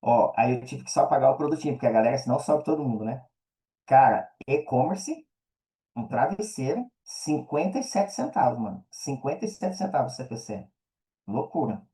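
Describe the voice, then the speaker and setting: A man's voice: mid-range voice, lively intonation, moderate pace, warm tone. A man with a Brazilian accent in a casual podcast chat, talking in a slangy, conversational way and repeating words for emphasis.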